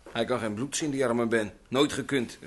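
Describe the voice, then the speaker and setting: An actor playing a doctor and scientist who works through the night, talking in a jovial, chatty way in an old radio drama. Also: male voice, medium pitch, fairly even intonation, a little slow, slight background noise, natural voice. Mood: earnest. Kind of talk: vintage Dutch radio drama acting.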